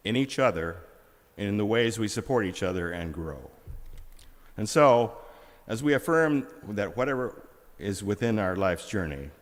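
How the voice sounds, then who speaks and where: A man serving as worship associate, leading a church service with a measured, solemn reading. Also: on the low side. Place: large church sanctuary. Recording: reverberant hall.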